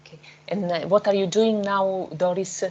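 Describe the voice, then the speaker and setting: A woman moderating an online panel, asking questions and inviting the audience, with halting speech full of fillers. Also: medium pitch, steady tone, warm tone, muffled recording. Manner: hesitant, many fillers.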